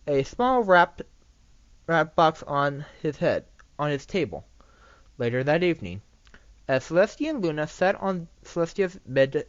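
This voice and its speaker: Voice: medium pitch, no room sound. An amateur narrator reading fan fiction aloud, often stumbling and repeating phrases. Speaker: story narrator. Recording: dull, dry home recording.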